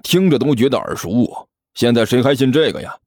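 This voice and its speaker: Male narrator as crooked monk, slangy, smirking, easily flustered, swinging pitch, dry audiobook sound. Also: sing-song, fairly quick, a little mellow, no echo. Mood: scornful, cocky. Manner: slangy, smirking, sputters when cornered. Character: sly, shameless monk con artist, quick to fluster.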